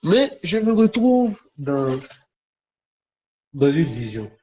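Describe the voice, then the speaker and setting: A man preaching in a conversational, charismatic style to online viewers, repeating short phrases for emphasis with pauses between them. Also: fairly high pitch, big pitch swings, a little mellow. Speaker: preacher hosting a live online prophetic session. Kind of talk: charismatic sermon and prophetic teaching, conversational, addressing viewers. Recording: dull, lo-fi livestream audio.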